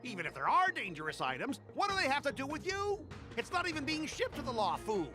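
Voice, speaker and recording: An actor playing an irate, stubborn cargo worker in a video game, indignant, blustering and emphatic. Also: male voice, very high voice, wildly swooping pitch, quick pace, music in the background, full character voice. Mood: aggrieved. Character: irate, stubborn male cargo-company worker, loud complainer.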